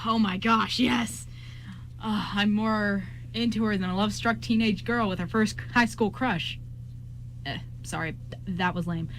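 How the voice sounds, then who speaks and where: A woman's voice: medium pitch, fairly even intonation, a little slow, natural voice. A woman playing an anxious, lovestruck young groom in an amateur radio play, stammering and repeating words. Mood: nervous, gushing. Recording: clearly noisy amateur recording with hum.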